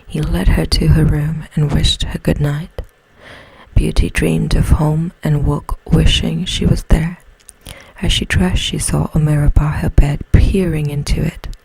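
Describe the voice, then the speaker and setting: A woman whispers a bedtime story in a hushed, breathy ASMR style, close to the mic. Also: low voice, level tone, no room sound, rough recording. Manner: slow, hushed, soothing delivery.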